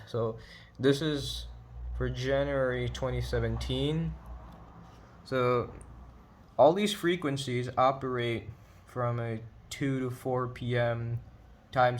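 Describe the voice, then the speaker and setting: A man narrating an informal explainer video as its creator. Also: medium pitch.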